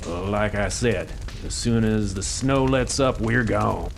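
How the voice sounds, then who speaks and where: An actor playing a gruff, blunt male traveler who talks in terse, folksy phrases. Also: fairly low pitch, slow, slight background noise. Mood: dismissive. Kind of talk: audio drama acting.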